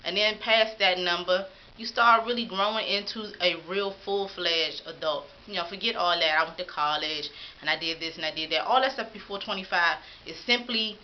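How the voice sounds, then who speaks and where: A woman's voice: medium pitch, highs cut off, a little hiss or hum. Upbeat woman vlogger in her thirties. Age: 30s. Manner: upbeat, encouraging, folksy. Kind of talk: casual talk to camera.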